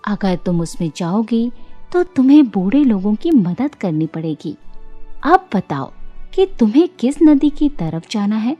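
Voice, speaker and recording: Kind storybook fairy, voiced by a woman: gracious, measured, mellow and unhurried. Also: medium pitch, sing-song, dull audio, soft background music, pitched below the performer's own voice. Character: kind, gracious fairy rewarding good children.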